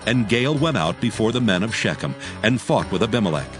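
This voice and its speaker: A man narrating scripture from a script, in a solemn, measured storytelling manner. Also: fairly low pitch, animated, music in the background.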